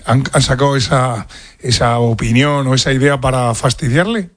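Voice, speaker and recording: A man with an accent from Spain questioning a candidate in a halting, wordy, spontaneous way. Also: medium pitch, no room sound, studio quality. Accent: Spanish, from Spain.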